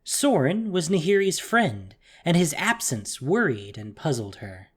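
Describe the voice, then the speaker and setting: A narrator reading a scripted fantasy story in a measured, theatrical way with deliberate pauses. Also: medium pitch, very animated, husky.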